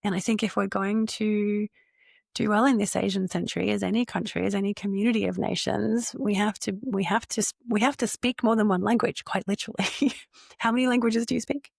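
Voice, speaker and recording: A woman's voice: medium pitch, steady tone, a little fast, creaky, no room sound, clean studio sound. A woman in her forties hosting a podcast interview, speaking in a thoughtful, reflective way. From Australia. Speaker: podcast host, CEO.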